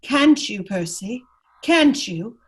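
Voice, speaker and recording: A woman's voice: on the high side, lively intonation, slow pace, breathy edge, full character voice. A woman playing a proud, unhappy, neglected aristocratic wife in an amateur radio play read, earnest and wounded. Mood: wounded, wistful.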